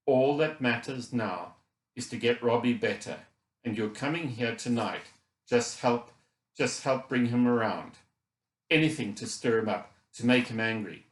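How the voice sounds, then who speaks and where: A man's voice: mid-range voice, roomy sound, lightly acted. A man voicing a worried, gracious mother in an audiobook, speaking in an earnest and reassuring manner. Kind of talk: audiobook character dialogue.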